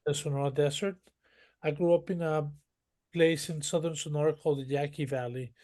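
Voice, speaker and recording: A man's voice: on the high side, steady tone, fairly quick, a little mellow. Man telling a rambling story. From northern Mexico. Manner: rambling, detailed storytelling with many restarts. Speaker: guest. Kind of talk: conversational interview.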